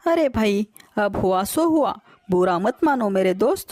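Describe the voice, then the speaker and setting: A woman's voice: mid-range voice, animated, a little slow, a little mellow, dry recording, lightly acted. A woman voicing an earnest, friendly little female bird in a children's cartoon story. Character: trusting little female sparrow, friendly but determined.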